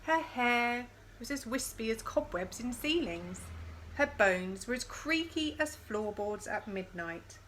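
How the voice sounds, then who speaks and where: A woman reading a picture book aloud to young children in an expressive storytelling style, with chatty questions to the listeners. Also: fairly high pitch, unhurried, a little hiss or hum, low-quality recording. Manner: addresses young listeners with questions and dramatised sound words.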